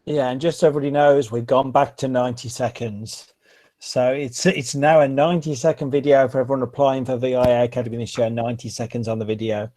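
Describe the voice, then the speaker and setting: A man's voice: medium pitch, fairly even intonation, fast, dark tone. A man hosting a webinar call, introducing guests with friendly, hesitant fillers. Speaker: webinar host. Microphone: video call.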